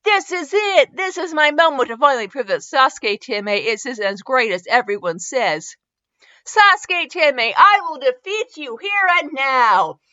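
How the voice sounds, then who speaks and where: A woman voicing a loud, brash young ninja boy in a story, exclaiming indignantly. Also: high voice, big pitch swings, unhurried, no room sound, highs cut off, heavily acted. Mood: determined.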